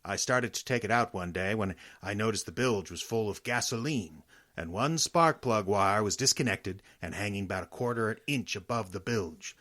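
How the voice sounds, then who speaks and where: Man acting a bright-voiced, low-pitched wealthy older father. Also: normal speed, close to an everyday voice. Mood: uneasy. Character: wealthy 68-year-old father, suspicious of his parasitic sons. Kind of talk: radio drama acting.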